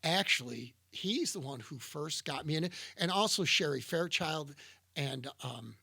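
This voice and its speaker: A middle-aged man co-hosting a relaxed conversation, with a gravelly, husky edge to a bright, fairly high voice. He rambles and restarts often, searching for words. The sound is close and dry. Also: measured pace, no echo. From Niagara Falls area, New York. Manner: rambling, hesitant, many restarts and fillers. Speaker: podcast co-host. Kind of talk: casual chat.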